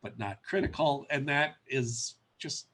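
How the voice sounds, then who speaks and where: A man's voice: medium pitch, unhurried, a bit bright. A man with a cold, sounding stuffy, giving a conversational webinar presentation with frequent ums and uhs. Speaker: policy presenter.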